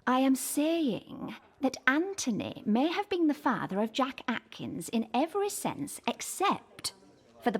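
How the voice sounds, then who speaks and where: A woman's voice: fairly high pitch, husky, heavily acted. An actress playing a pompous, pedantic forensics woman in an audio drama, speaking in a precise, formal way. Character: pompous, pedantic, self-important female police forensics colleague.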